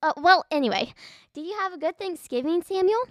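A female host speaks in a high register on a children's podcast. Her delivery is scripted, moving between chat and narration. The recording is dry. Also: expressive, fairly quick, fairly bright tone, no echo.

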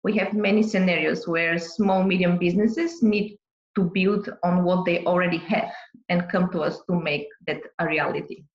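A woman's voice: lowish voice, steady tone, normal speed, reverberant, dull audio. A woman from Bulgaria, a business owner, answering podcast interview questions carefully, with light laughs.

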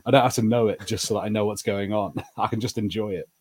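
A man's voice: lowish voice, steady tone, fast, darkish tone. A man co-hosting a podcast, chatting casually as he reviews TV shows. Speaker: podcast co-host.

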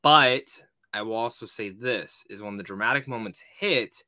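Bright-voiced man chatting about films. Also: on the low side, normal speed, no echo. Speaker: podcast host and film reviewer. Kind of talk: casual film review chat.

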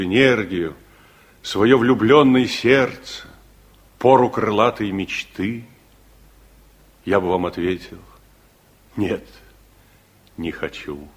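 Actor as husky, reflective old veteran. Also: male voice, mid-range voice, close to an everyday voice. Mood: wistful, resolute. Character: elderly reflective war-veteran grandfather looking back on his life. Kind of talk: theatrical 1960s radio-play monologue and dialogue. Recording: 1960s radio drama recording with music.

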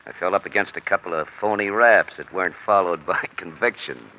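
Gunman suspect man acting: cocky, sardonic, evasive American crook, fairly deep voice, 1940s radio crime drama, noisy vintage recording. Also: a little fast, lightly acted. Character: cocky, insolent male gangland gunman under questioning. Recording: muffled, noisy old radio broadcast.

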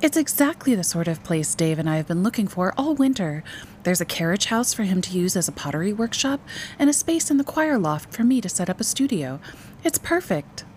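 A woman's voice: a little high, big pitch swings, normal speed, a little hiss or hum, voice pushed up. A woman voicing an enthusiastic, coaxing mother in a storybook, gushing and persuasive. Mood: eager. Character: enthusiastic mother, brightly persuasive, dreamy, easily exasperated. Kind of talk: storybook character voice.

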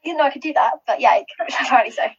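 A woman's voice: sits high, expressive, racing, husky, muffled recording. A woman who is a finalist guest, chatting casually, rambling with lots of restarts and giggles. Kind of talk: casual chat.

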